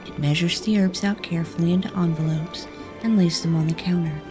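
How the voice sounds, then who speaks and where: A woman's voice: fairly low pitch, steady tone, fairly quick. A woman narrating a scripted fantasy story. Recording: faint music behind the voice.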